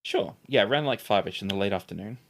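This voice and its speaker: Game master with a very animated delivery. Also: deep voice, fast. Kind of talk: tabletop game narration and casual chat.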